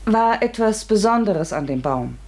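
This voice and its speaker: A woman's voice: medium pitch, expressive, a little slow, a little mellow. An actress playing an earnest, inquisitive and easily bewildered woman in a radio play, speaking tentatively. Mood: eager, puzzled. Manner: tentative, questioning. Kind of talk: German radio play acting.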